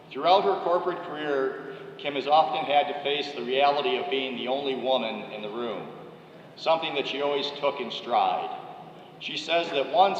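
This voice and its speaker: A man, a college dean, reading a measured, formal introduction of a guest speaker from a podium microphone in a large ceremony hall. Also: mid-range voice, slight background noise. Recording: echoey, dull hall recording.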